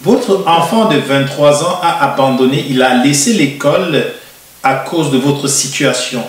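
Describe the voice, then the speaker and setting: A man hosting a TV interview show, speaking in a measured way with frequent hesitations. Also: fairly high pitch. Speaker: TV show host. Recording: roomy echo, distant mic.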